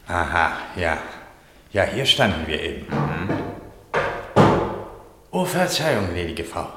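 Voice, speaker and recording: A man in a radio play acting a gallant, indignant gentleman rescuer who speaks in florid, formal courtesies. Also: deep voice, sing-song, slow, over loud music, full character voice. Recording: echoey, reverberant radio play sound.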